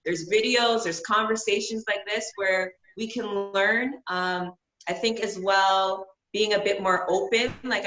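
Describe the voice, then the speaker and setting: A woman on a discussion panel who talks in a relaxed, conversational way with lots of ums and likes. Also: medium pitch. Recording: roomy, dull lo-fi audio.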